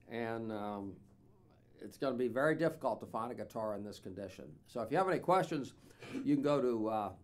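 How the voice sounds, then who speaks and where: A man in his sixties with a drawl talks through a guitar for sale in an easy, meandering way. He fills pauses with plenty of "uh"s and restarts. He is recorded seated in a small, dim studio on a stand mic. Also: mid-range voice. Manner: relaxed, rambling with many fillers. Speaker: instrument dealer. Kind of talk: casual product demo.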